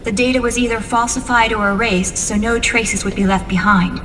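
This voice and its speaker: An actress playing an earnest, composed young female military officer in a video game, giving a measured briefing. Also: fairly high pitch, fairly even intonation, over music, close to an everyday voice. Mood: serious, informative. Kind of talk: cinematic video game dialogue. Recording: long reverb, clear background noise.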